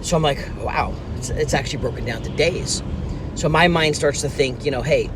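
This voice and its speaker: A man's voice: on the high side, normal speed, background noise. A man in his fifties giving an earnest motivational talk to his phone camera from inside a car, repeating his key points with emphasis. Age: 50s. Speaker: business coach. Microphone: phone camera at arm's length.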